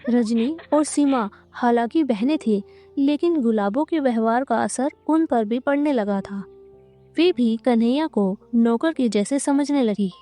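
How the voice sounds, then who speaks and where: A woman narrating a scripted moral story in a measured, dramatic storytelling manner. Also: on the high side, mellow, soft background music.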